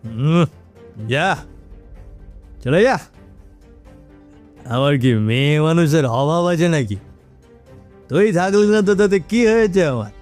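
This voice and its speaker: A man's voice: fairly high pitch, expressive, takes it slowly, long pauses, a little mellow, faint music behind, pitched above the performer's own voice. A man voicing an arrogant, cruel, drunken landlord in a cartoon story, sneering and dismissive. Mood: scornful, dismissive.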